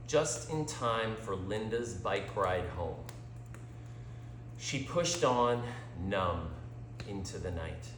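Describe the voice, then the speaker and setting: A male storyteller narrates a scripted tale in an unhurried, theatrical way. His pitch is medium and swings widely as he reads. The sound is roomy, with clear echo around the voice. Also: slight background noise. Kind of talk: scripted story narration, dramatic read-aloud.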